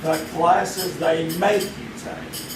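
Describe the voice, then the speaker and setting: A dry, teasing sheriff. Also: male voice, on the high side, slow pace. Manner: dry, mocking. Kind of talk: live radio play on stage. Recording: echoey stage recording from far off, with audience noise.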